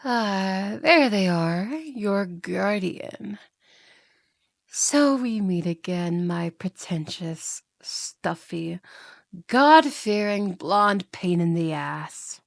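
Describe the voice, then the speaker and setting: A woman's voice: medium pitch, lively intonation, drawn-out words, gravelly, no echo, clean studio sound, heavily acted. A woman playing a seductive, mocking yandere demon in an ASMR roleplay, coaxing and theatrical, often slipping into whispers. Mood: mocking, indignant.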